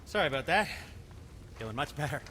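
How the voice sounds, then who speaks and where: A man's voice: medium pitch, big pitch swings, fast talker, bright, forceful tone, a little hiss or hum. An actor playing a squeamish, polite dwarf craftsman in a video game, hesitant, apologetic and flustered. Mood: anxious, flustered. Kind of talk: dramatic video game acting.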